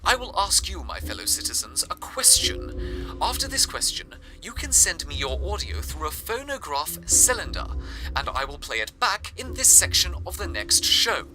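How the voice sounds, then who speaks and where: A man playing a grandiose, genteel radio drama host who speaks in florid, deliberate phrases, like an old-time broadcast monologue. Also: on the high side, sing-song, music in the background. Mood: genial, showmanlike. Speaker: fictional radio show host.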